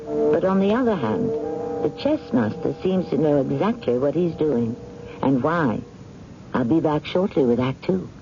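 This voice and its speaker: Radio mystery host: wry and ominous, theatrical swings of pitch, dull vintage broadcast sound. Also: male voice, expressive, a little slow, loud music behind the voice. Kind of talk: 1970s radio mystery narration.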